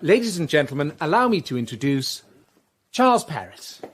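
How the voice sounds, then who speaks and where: A man's voice: a little high, big pitch swings, moderate pace, very noisy. An actor playing a self-important amateur theatre chairman in a radio drama, speaking formally and pompously.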